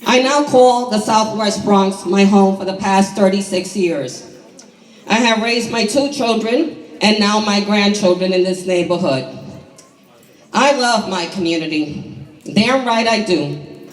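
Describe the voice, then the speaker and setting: A woman in her sixties gives a prepared statement in a hoarse voice. She is a community coalition leader and reads it earnestly to the people at a town hall. The sound is roomy and comes from well back from the mic. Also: mid-range voice, a little slow. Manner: earnest, reading a personal statement. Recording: echoey hall from far off.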